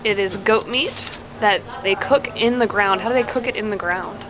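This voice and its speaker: A woman's voice: medium pitch, normal speed, a crowd in the background. A woman narrating a travel clip on location, giving simple, curious commentary. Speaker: travel vlogger. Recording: noisy on-location travel recording.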